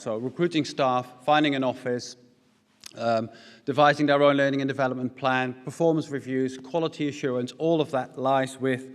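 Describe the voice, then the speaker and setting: A middle-aged man with a Dutch accent talks through a conference presentation at podium microphones. His voice sits at a middle pitch and he fills pauses with ums and uhs. A long hall reverb trails each phrase. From Netherlands. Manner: explanatory, frequent ums and uhs. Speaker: conference speaker. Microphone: stand mics at a podium.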